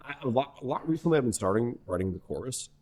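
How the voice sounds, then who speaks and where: A young man from New Hampshire, a singer-songwriter guest in a podcast interview, telling a story in a rambling, animated way full of restarts. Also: mid-range voice, fast talker, a little hiss or hum. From New Hampshire, USA. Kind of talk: casual conversational interview.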